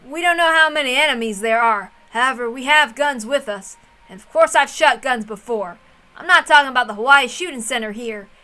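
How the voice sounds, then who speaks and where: A woman's voice: high-pitched, brisk, bright, forceful tone. A woman voicing a boastful middle-aged man in a visual novel, with a blustery, folksy manner. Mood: boastful, reassuring. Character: boastful middle-aged man telling old war-era stories.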